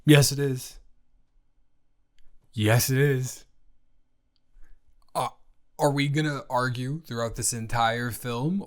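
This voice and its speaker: Slow, halting young American man. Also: medium pitch. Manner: emphatic, halting, repeats words for stress. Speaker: audio roleplay performer. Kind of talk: improvised casual chat roleplay, as a roommate.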